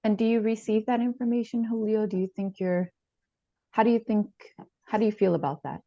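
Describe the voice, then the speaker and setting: A woman plays a calm therapist in a dramatized session, asking probing questions in measured phrases. Her voice is mellow with plenty of vocal fry, in a dull, lo-fi recording. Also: medium pitch, dry recording, close to an everyday voice. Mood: calm, inquiring. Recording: dull lo-fi sound.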